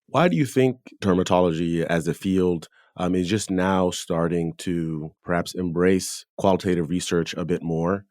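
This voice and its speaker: A man hosting a scholarly podcast interview, asking long, measured questions. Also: low-pitched, a little mellow, no echo, studio quality.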